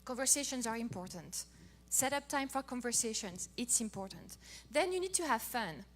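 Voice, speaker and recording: A middle-aged woman from France with a French accent, giving a playful, animated talk on marriage as a co-host. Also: on the high side, moderate pace. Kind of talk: casual talk to an audience.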